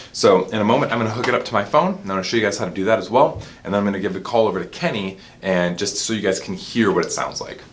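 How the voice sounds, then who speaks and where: A man with a fairly low voice presents a product review, moving his pitch around in a lively way as he explains things informally. He sounds like a specialist walking viewers through a demonstration. There is faint background noise.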